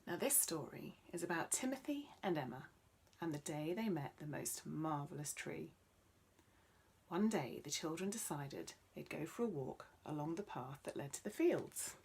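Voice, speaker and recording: A woman storyteller narrating a bedtime story for children in an expressive style. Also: low-pitched, normal speed, vocal fry, slightly rough.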